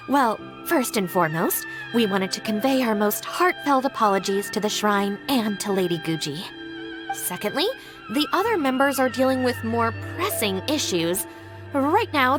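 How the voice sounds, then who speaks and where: A woman playing a composed, stubborn young gang deputy in a video game, speaking in formal, measured phrases. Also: a little high, lively intonation, over music, close to an everyday voice. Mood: formal, evasive. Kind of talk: scripted video game voice acting.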